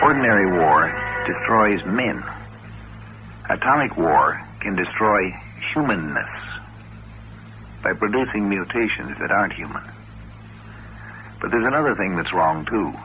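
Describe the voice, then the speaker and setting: A man with a low voice and lively swings in pitch narrates as a vintage radio host. His delivery is deliberate and emphatic. The old recording is dull. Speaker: host introducing the story. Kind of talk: 1950s radio narration, philosophical introduction.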